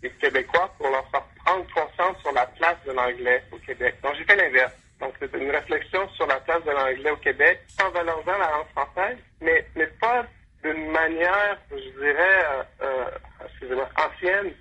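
A man's voice: on the high side, moderate pace, sharp tone, husky, poor audio. A male professor answering radio interview questions, hesitant, with frequent restarts and fillers. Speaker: guest professor.

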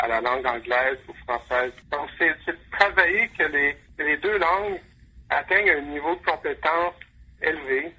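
A man's voice: a little high, sharp tone, breathy edge, poor audio. A male professor answering radio interview questions, hesitant, with frequent restarts and fillers. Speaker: guest professor.

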